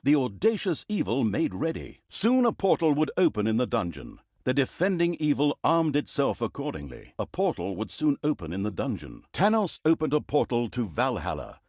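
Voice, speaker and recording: A man's voice: medium pitch, huge pitch swings, normal speed, few pauses, darkish tone, no room sound, studio quality. A man playing a pompous, dryly mocking storyteller who narrates an evil overlord's deeds in a video game, with theatrical emphasis. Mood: grandiose, dry.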